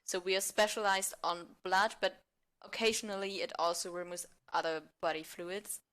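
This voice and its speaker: A woman speaking as a podcast guest, improvising role-play and mock pitches in an amateur theatre manner. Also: fairly even intonation, moderate pace, fairly bright tone, clean tone.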